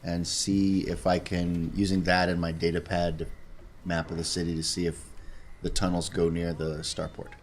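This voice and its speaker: A man talking casually as a tabletop game player, thinking aloud in halting phrases. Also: sits low, level tone, slight background noise.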